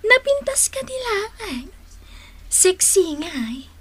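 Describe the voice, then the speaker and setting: Managallukoy a balasang, nakangatngato ti timekna. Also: female voice, extremely high pitch, very animated, slow pace, crowd noise, exaggerated voice. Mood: coquettish. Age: young adult. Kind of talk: Ilocano radio drama acting.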